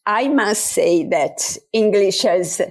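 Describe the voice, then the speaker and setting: A woman talks about her writing in an interview. She speaks slowly and thoughtfully, filling her pauses with soft fillers. Her pitch sits in the middle range. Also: animated. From Italy. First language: Italian. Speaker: author guest. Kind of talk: interview conversation.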